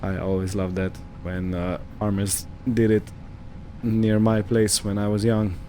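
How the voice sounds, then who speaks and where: A man with a fairly low voice commentates casually on a roleplay game. He talks very slowly, thinking aloud in short phrases. A faint vehicle-like ambient hum sits behind him. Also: completely flat delivery, warm tone. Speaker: gaming video host.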